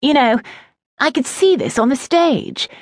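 Woman playing a jeering schoolboy, pitched up. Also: lively intonation, unhurried, no room sound. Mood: taunting. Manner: loud, gleeful, taunting. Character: rude, jeering, mocking fifteen-year-old schoolboy.

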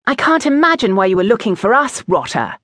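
A woman's voice: on the high side, big pitch swings, fairly quick, fairly bright tone, no echo, studio quality, pitched above the performer's own voice. A woman voicing an indignant, haughty fifteen-year-old aristocratic schoolboy, curt and defensive, in an audiobook.

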